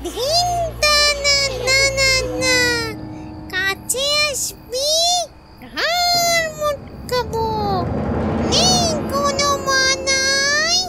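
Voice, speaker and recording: A very high, shrill female ghost, gloats and threatens in a slow sing-song full of cackles. She is a gleeful, menacing cartoon spirit, with music clearly playing behind her. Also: expressive, sharp tone, far from the mic, exaggerated voice.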